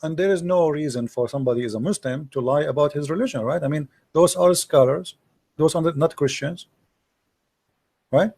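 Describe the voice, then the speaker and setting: A husky, rather high-voiced man speaks as a debate host. His tone is dark and gravelly, and he teaches in a didactic way, posing leading questions as he reads from texts. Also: a little fast. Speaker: host debating religion with a caller. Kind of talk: live argumentative teaching, reading and commenting on texts.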